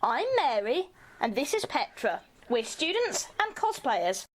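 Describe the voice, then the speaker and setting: Woman acting as earnest young student leader, high-pitched, animated, organising, amateur radio drama. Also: a little slow, slight background noise, low-quality recording. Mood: earnest, determined. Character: earnest student cosplayer taking charge of stranded passengers.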